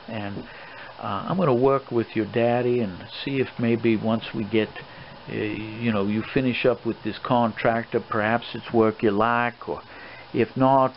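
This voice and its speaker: A man playing a stern, fatherly old colonel in an improvised role play, scolding a young man in a halting, rambling way. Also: mid-range voice, close to an everyday voice. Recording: dull lo-fi recording with clear background noise.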